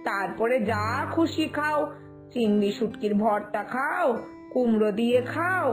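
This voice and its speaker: A woman's voice: fairly high pitch, animated, unhurried, faint music behind. A woman playing a practical, homely mother-in-law in a cartoon village tale, instructive and matter-of-fact. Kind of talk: Bengali cartoon village family tale acting.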